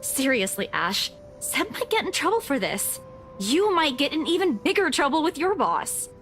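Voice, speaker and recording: An actress playing a tired, defensive young woman who answers with curt, sharp retorts. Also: high voice, sing-song, normal speed, soft background music. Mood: worried.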